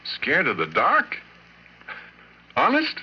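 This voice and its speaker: An actor playing a rough, easygoing oil-rig worker in 1940s radio horror style, with colloquial working-man speech. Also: on the high side, wildly swooping pitch, slow pace, full character voice. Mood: sympathetic. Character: rough, folksy, easygoing oil-rig roughneck telling his eerie tale. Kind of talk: 1940s radio horror drama acting, first-person narration and dialogue. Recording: muffled, noisy vintage radio recording.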